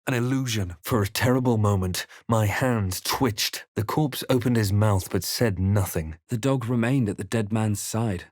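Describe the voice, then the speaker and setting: An actor playing a brooding fantasy adventurer in a game, narrating his quest in a grave, measured, deliberate way. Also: fairly low pitch, darkish tone, no room sound, clean studio sound, lightly acted. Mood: somber, reflective. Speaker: video game player-character voice. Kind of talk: dramatic first-person game narration.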